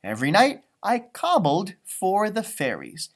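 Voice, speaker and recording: A man's voice: high-pitched, wildly swooping pitch, a little slow, full character voice. A man voicing a small, grumpy, boastful old leprechaun telling his own story in a storybook read-aloud. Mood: content, proud. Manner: feisty, boastful, theatrical storytelling.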